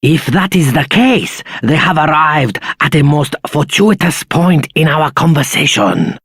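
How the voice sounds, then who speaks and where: Stately fantasy elder, husky, slow, formal, grave, courteous. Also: male voice, on the high side, animated, a bit bright, no room sound, clean studio sound, heavily acted. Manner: formal, deliberate. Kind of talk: video game voice acting.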